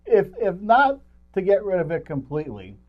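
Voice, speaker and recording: A man's voice: high-pitched, huge pitch swings, moderate pace. Man co-hosting in dull, lo-fi sound. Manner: rambling, jokey, chuckles at own remarks. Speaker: co-host of a local film review show. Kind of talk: casual unscripted chat about movies.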